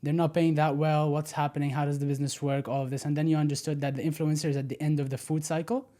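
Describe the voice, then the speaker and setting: A confident young man in his twenties talks as a podcast guest, direct and methodical. His voice sits a bit high for a man. He grew up between the Netherlands and Egypt and lays out his points plainly. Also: flat delivery, quick pace, warm tone. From born in the Netherlands, raised in Egypt. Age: 20s. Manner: confident, direct, explains step by step. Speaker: podcast guest, personal branding strategist. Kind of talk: casual interview conversation.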